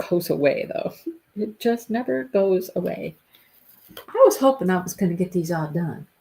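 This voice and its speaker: Slow, chatty woman with a stuffy nose. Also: medium pitch, mellow. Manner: chatty, repeats her phrases, addresses viewers by name. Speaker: livestream host. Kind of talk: casual chat with live viewers.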